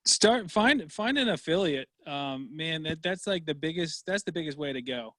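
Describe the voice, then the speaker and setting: A man's voice: on the high side, expressive, moderate pace, fairly bright tone. A man in a casual podcast chat, giving advice hesitantly with many ums.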